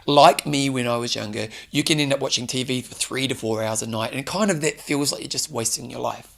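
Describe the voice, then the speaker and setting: A man giving an earnest solo coaching talk, hoarse from losing his voice. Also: medium pitch. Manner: earnest, coaching, direct questions to listener. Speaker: fitness show host. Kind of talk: solo motivational talk to camera.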